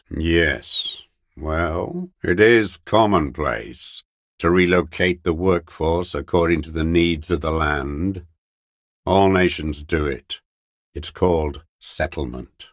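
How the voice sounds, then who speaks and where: Game role: aged revolutionary holdout, deep-voiced, very slow delivery, scornful, weary and unrepentant. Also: male voice, dry recording, studio quality. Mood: grim, resigned. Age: older. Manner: contemptuous, ideological, slow and weary. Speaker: video game character actor. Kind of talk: dramatic game voice acting, bitter monologue.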